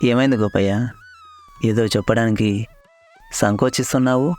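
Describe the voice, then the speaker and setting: A man's voice: medium pitch, steady tone, normal speed, a little mellow, soft background music, natural voice. A man playing a generous, devout older village landlord in a cartoon story, courteous and magnanimous. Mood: reassuring. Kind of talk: Telugu cartoon story dialogue.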